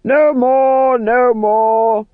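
A man's voice: a little high, steady tone, measured pace, no room sound, close to an everyday voice. A man reading an audiobook, voicing a jovial, theatrical actor-manager. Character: hearty booming actor-manager, cheerful and commanding. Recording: dull band-limited audio.

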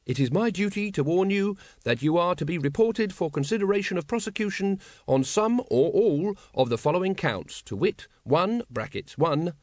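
A man's voice: high voice, lively intonation, normal speed, hardly any pauses, darkish tone, no room sound, clean studio sound, pitched above the performer's own voice. A man voicing an earnest, pedantic young watchman in an audiobook, reciting formally and officiously. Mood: solemn, officious.

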